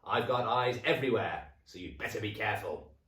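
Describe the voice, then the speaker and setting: A man voicing a nasty old hag wife, shrill and cackling, in a roomy recording. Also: animated, fairly quick, sharp tone. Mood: sly. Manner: shrill and screeching. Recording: roomy echo, distant mic.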